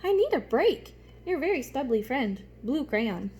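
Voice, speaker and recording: Little worn-down storybook crayon, lady doing it, highish, friendly pleading, very up and down. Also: sing-song, measured pace, darkish tone. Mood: fond, worn-out. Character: short, stubby, worn-out favorite blue crayon.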